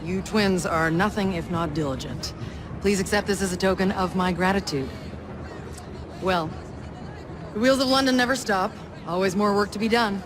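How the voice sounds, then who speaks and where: A man voicing a smooth-talking, businesslike, slyly courteous Victorian crime fixer in a video game. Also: high voice, normal speed, pauses often, noisy background, natural voice. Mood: grateful, brisk. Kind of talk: video game cutscene acting.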